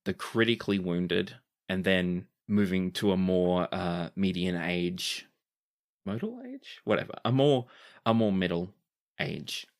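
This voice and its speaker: A man narrating as game master of a tabletop game, relaxed and chatty, correcting himself as he goes. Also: sits low, a little slow.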